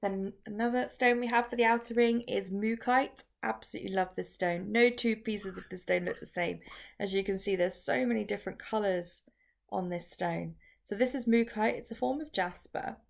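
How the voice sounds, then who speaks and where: A woman teaching on camera, talking through each step in a chatty way with many restarts and ums, her voice a bit croaky. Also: medium pitch, normal speed. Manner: chatty, filler-heavy explaining with frequent restarts. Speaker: crystal workshop teacher. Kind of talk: casual how-to video.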